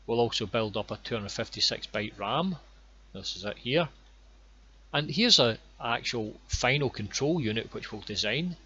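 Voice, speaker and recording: A Scottish man guiding viewers through his online course with plain, unhurried explanations and a few ums and repeated words. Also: mid-range voice, animated, bright, forceful tone, husky, dull audio. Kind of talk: screen-recorded course walkthrough.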